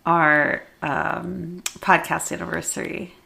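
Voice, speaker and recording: A woman's voice: on the low side, level tone, takes it slowly, creaky, bright, forceful tone. A woman co-hosting a casual podcast with her husband, congested today and talking in a playful, teasing way. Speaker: podcast co-host. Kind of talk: casual chat.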